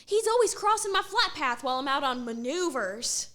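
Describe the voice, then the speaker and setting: A woman playing an excitable young student. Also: high-pitched, moderate pace. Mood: excited.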